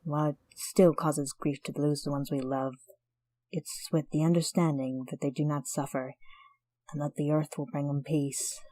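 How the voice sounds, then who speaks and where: A woman voicing a female dwarf who gently explains her people's customs. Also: low voice, flat delivery, normal speed, dry recording. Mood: gentle.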